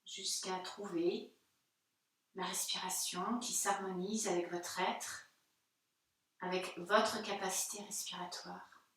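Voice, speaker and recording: A woman yoga teacher leads a guided meditation at an unhurried, slow pace. Her delivery is gentle and soothing, with pauses between phrases. She is heard a little far from the microphone in a strongly reverberant room. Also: lowish voice, level tone. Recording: very echoey room, distant mic.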